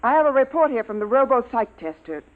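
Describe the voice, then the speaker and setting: A woman's voice: sits high, very animated, quick pace. A woman playing a clipped, authoritative military commander in a 1950s radio drama. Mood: stern. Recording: tinny 1950s radio recording.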